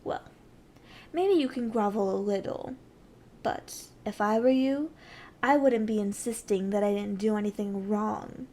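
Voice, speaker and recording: A woman's voice: mid-range voice, unhurried, warm tone, lightly acted. A woman playing a haughty, condescending evil sorceress queen in an ASMR roleplay, with an imperious, theatrical and mocking delivery.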